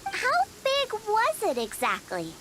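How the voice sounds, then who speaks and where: A woman voicing a tiny, childlike fairy companion, fretful and chatty, in a squeaky anime-game voice. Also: wildly swooping pitch, slow, noisy background, heavily acted. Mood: worried. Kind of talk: anime-style video game voice acting. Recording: loud music behind the voice.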